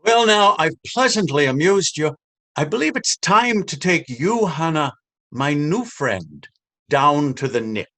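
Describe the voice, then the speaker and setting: An actor playing a cruel, taunting police officer in a stage drama, with a mocking, menacing drawl. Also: male voice, fairly high pitch, sing-song, a little slow, bright, forceful tone, exaggerated voice. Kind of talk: stage readers theater drama.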